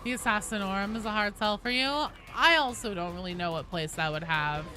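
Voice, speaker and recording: A woman's voice: medium pitch, fairly quick, pushed and bright, soft background music. A woman livestreaming a game, chatting casually and discursively about it, with frequent swearing. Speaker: game streamer. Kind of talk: casual livestream gaming chat.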